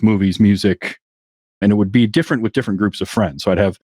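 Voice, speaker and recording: A low-pitched middle-aged man chatting as a podcast guest in a dry, close recording. He wanders through his memories, restarting and circling back as he talks. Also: no echo. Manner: reflective, rambling with restarts. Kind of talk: casual conversation.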